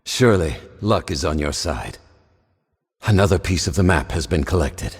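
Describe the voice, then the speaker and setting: A man's voice: low-pitched, animated, normal speed, breathy edge. A male actor playing a grave, scholarly professor who narrates a video game in a solemn, formal way. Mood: approving, measured. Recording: long echoing reverb.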